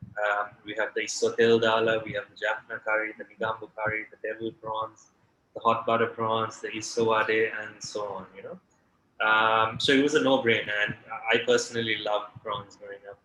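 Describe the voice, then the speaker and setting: A man from Sri Lanka in his late twenties or thirties, a restaurant founder answering interview questions in a relaxed way with many fillers. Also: lowish voice, normal speed. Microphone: video call. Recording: echoey room with faint ambience.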